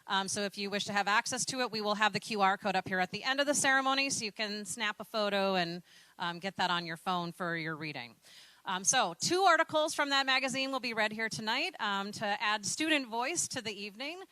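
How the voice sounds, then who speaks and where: A woman's voice: mid-range voice, lively intonation, brisk, bright, forceful tone, clean tone, no room sound, low-quality recording. A woman introducing speakers at a graduation ceremony, encouraging and full of "um" fillers. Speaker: program staff emcee.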